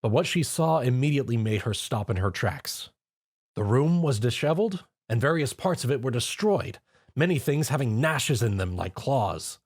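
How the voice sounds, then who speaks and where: A man narrating a fan story in a lively storytelling manner. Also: medium pitch, normal speed. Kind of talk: fan fiction reading.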